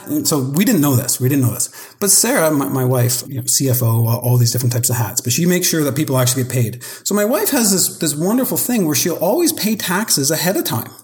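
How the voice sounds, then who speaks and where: A man from Canada, a guest in a casual podcast conversation, hesitant and searching for words, often repeating himself, with sudden upward jumps in pitch. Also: big pitch swings, fast talker, few pauses, a little mellow. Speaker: guest, company co-founder.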